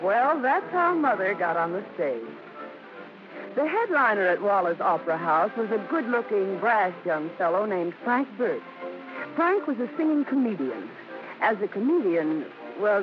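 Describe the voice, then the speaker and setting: A fond storyteller, narrating a vintage radio play. The high voice moves in lively swings, over music on a dull old recording. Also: male voice, a little slow. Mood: fond, storytelling. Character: narrator fondly recounting his or her mother's stage career. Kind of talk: 1940s-50s radio drama narration.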